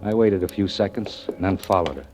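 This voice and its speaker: A haunted fire inspector. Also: male voice, sits low. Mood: tense. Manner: tense, halting first-person narration. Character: tormented male fire inspector narrating. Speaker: narrator and lead. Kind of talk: 1940s radio acting. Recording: old 1940s radio broadcast with music.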